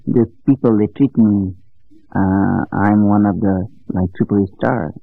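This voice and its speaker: A man from Nepal with a Nepali accent, recalling his life in halting English full of fillers for a documentary interview. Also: lowish voice, creaky, dry recording. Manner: halting non-native English with many fillers. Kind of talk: interview recollection. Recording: tinny lo-fi sound with faint music.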